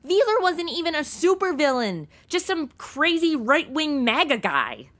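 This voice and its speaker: A woman acting a modern young American woman with a sardonic, slangy manner. Her voice sits fairly high and moves around a lot, in the style of comic audio drama. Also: big pitch swings, unhurried, fairly bright tone, full character voice. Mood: unimpressed, sardonic. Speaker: show host.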